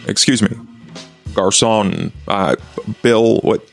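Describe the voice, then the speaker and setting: Man playing a deep, gravelly gentleman vampire investigator. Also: takes it slowly, faint music behind. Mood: polite, probing. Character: dry, courteous male vampire investigator.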